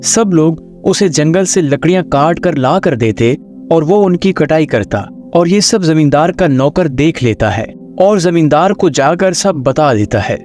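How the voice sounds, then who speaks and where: Male storyteller, a little high in pitch, scripted children's cartoon narration over light music. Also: a little mellow.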